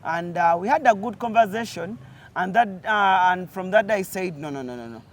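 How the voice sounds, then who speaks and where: A man's voice: high voice, expressive, fairly quick, slight background noise. Chatty Ugandan male host. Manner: chatty, with frequent "mm" and "uh" fillers. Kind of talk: casual interview chat. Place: outdoors in nature.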